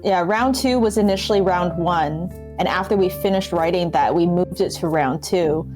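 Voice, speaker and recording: A woman's voice: fairly low pitch, normal speed, darkish tone, poor audio. A woman on a game development team, chatting casually in an interview. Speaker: game developer and project manager. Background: background music.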